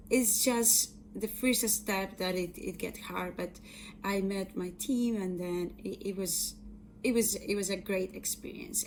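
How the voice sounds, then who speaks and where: A woman's voice: medium pitch, darkish tone, slight background noise. A woman in her thirties from Colombia with a Colombian Spanish accent, giving an earnest personal talk about her career with frequent fillers and restarts. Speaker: software engineer and data scientist.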